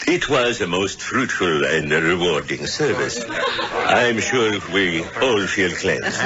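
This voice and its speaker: An actor playing a suave doctor who presides over a witches' coven, speaking formally and ceremoniously in old-time radio drama style. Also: fairly low pitch. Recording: dull, hissy old radio recording.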